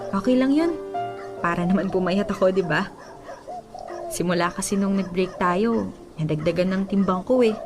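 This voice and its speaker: A woman's voice: medium pitch, lightly acted. A woman with a Filipino accent playing a lovelorn young woman confiding tenderly in a radio drama. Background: music under the voice.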